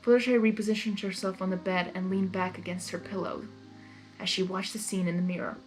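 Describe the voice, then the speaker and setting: A woman's voice: medium pitch, level tone, a little fast, soft background music, low-quality recording. A woman reading fan fiction aloud as a narrator, with casual, unrehearsed asides.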